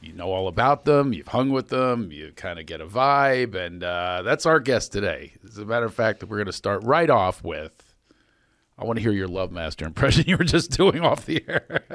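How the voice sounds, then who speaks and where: A middle-aged man with a baritone voice hosting a podcast, bantering and laughing. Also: normal speed, no echo, studio quality. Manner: animated, laughing, playful banter. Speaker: podcast host, comedy coach. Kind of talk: casual chat.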